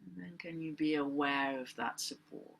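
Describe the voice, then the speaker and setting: A woman leading a guided meditation as a teacher, speaking in gentle, deliberate phrases with long pauses. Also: low voice, creaky, low-quality recording. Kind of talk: guided meditation, unscripted spoken instruction.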